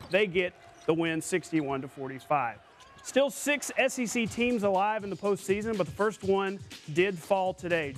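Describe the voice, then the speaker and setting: A man anchoring a local sportscast, reading scripted highlights in punchy, clipped calls.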